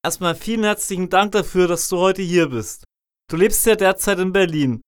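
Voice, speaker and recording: Interviewer guy, polite and measured, smooth higher voice, dry sound. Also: dry recording. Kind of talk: formal interview questions.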